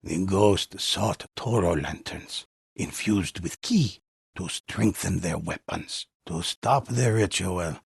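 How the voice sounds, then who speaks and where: An actor playing a grave old male storyteller, narrating dark legends for a video game in a solemn, measured, gravelly voice. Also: deep voice, no echo, clean studio sound, exaggerated voice. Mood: grave, ominous. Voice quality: gravelly, husky. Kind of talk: dramatic scripted legend narration.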